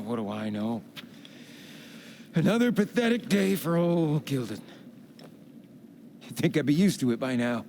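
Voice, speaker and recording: Slow-talking, hapless lonely adventurer. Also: male voice, mid-range voice, a little mellow, slight background noise. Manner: folksy, colourful exclamations, rueful. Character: hapless, lonely, self-pitying old tinkerer-adventurer man. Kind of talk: video game cutscene acting.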